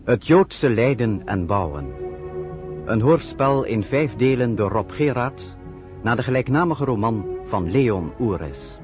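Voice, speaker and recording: A man solemnly announcing a radio drama in a measured, formal way. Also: medium pitch, expressive, over music, natural voice.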